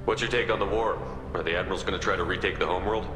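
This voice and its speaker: An actor playing a resolute, protective military starship commander in a video game, speaking in a firm, blunt, commanding way. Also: male voice, low-pitched, fairly even intonation, rapid-fire, close to an everyday voice. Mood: calm, concerned. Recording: echoey hall, music behind.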